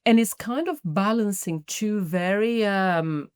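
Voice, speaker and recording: A middle-aged woman from Brazil with a Brazilian Portuguese accent, answering podcast interview questions in a thoughtful, measured way with frequent restarts. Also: mid-range voice, smooth, dry recording, studio quality. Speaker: guest, marketing executive.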